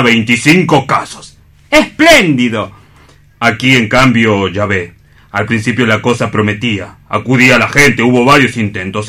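An actor with an accent from Spain playing a fussy, prim, morbid clinic assistant in a radio play reading, formal and indignant. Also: male voice, mid-range voice, bright, forceful tone, close to an everyday voice.

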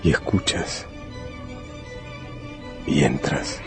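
A male narrator with a deep voice reads a dramatic scripted story. He moves in short, deliberate phrases. Music plays clearly underneath him. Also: huge pitch swings.

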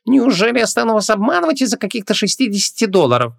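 Shopkeeper swindler: oily, wheedling, mock-offended, highly animated delivery, very dry storybook recording. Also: male voice, normal speed, gravelly, dry recording. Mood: indignant. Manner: oily, ingratiating, theatrically indignant. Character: sugary, wheedling male antique shop swindler.

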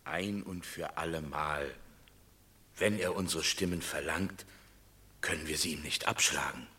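An actor playing a plain-spoken, aggrieved Roman commoner in a classic radio play, blunt in manner. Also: male voice, very deep voice, fairly even intonation, measured pace, sharp tone, heavily acted.

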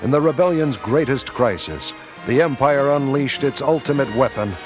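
A man's voice: medium pitch, animated, moderate pace. A man delivering dramatic scripted narration as the narrator of a radio drama. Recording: radio drama with music behind.

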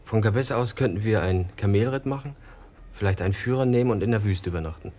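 An actor in a German radio play playing a polite, reserved, quietly uneasy writer in a measured conversational way. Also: male voice, lowish voice, slight background noise, close to an everyday voice. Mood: casual, friendly.

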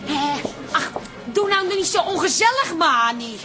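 An actress playing a brisk, sardonic pub landlady with a Netherlands Dutch accent and a mocking manner in a radio play. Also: high-pitched, expressive. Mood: teasing. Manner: wry, mocking, colloquial. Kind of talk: radio comedy-drama acting. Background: music.

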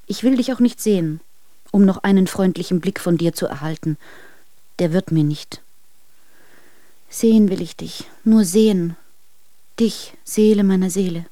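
Actress as lovelorn wife in a radio play, despairing and imploring, dark soft timbre, very dry close sound. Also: female voice, medium pitch, moderate pace, pauses often, dry recording, close to an everyday voice. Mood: despairing, pleading. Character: despairing, lovelorn wife pleading in farewell letters. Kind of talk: German radio drama, intimate monologue.

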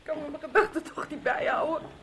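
A woman's voice: sits high, steady tone, fast talker, very noisy, close to an everyday voice. A woman playing an embittered, overwrought wife in an audio drama, with a dramatic, accusing manner. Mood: frightened, desperate. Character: embittered, overwrought wife trapped with her husband.

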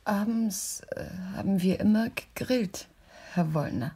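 An actress playing an obliging, friendly young wife in a German radio play, chatting in a polite, homely way. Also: female voice, mid-range voice, animated, measured pace, dark tone, breathy edge. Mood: politely chatty.

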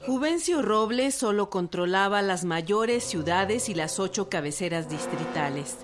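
Radio documentary narrator: woman, medium-pitched voice reading script over clear background music. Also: lively intonation, measured pace. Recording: music behind the voice.

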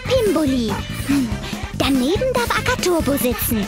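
A sweet, organised cartoon mouse girl, talks in a tiny, very high and lively voice. She is caring and a little bossy, as in a children's radio play, over prominent music. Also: normal speed. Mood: busy, organised. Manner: sweet, practical, gently chiding. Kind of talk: children's audio drama acting.

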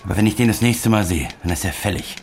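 An actor playing a surly, violent police constable in a German radio drama, brusque, defiant and evasive. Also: male voice, lowish voice, fast, darkish tone, slight background noise, lightly acted. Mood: defensive, surly.

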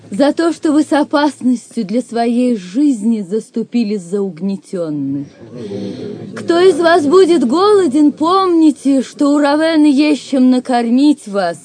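Gracious Saxon lady, audio drama, higher voice, soft mellow tone, stately and formal. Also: animated, takes it slowly. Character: gracious, dignified Saxon noblewoman, generous and proud. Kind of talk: Russian audio drama acting.

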